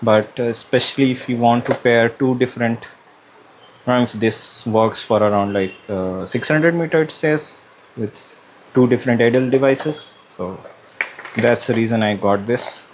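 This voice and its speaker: A man talks slowly over audible background noise in a mid-pitched voice. He gives a casual unboxing commentary, hesitating and thinking aloud as he handles the product. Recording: clear background noise, room ambience.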